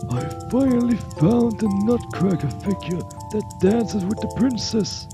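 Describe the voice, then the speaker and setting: A man's voice: high voice, animated, unhurried. A man playing a kindly, doting older godfather in an amateur audio drama, genial and theatrical. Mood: jovial, fond. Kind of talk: amateur audio roleplay acting. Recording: music behind the voice.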